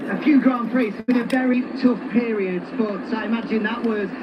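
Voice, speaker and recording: High-voiced male reporter amid loud trackside noise. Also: normal speed, highs cut off. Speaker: pit-lane reporter, interviewer. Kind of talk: live grid interview.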